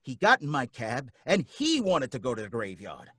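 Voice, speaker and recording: An actor playing a jittery working-class cab driver in an old-time radio drama, pleading and excitable with folksy slang. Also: male voice, mid-range voice, moderate pace, husky, no room sound, clean studio sound, exaggerated voice.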